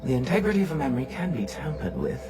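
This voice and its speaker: An enigmatic, composed guide, performed by a man, narrates a game in a measured, ominous way. The voice sits at medium pitch with prominent music behind it. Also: very animated, close to an everyday voice. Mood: cryptic, composed.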